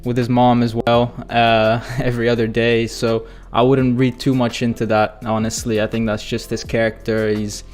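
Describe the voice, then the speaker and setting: An excitable man hosting a live football fan channel, calling his viewers "guys" as he reads their comments. His voice is hoarse and going from shouting, set at an ordinary middle pitch. Faint music plays underneath. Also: moderate pace, few pauses. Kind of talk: live-stream fan commentary and chat reading.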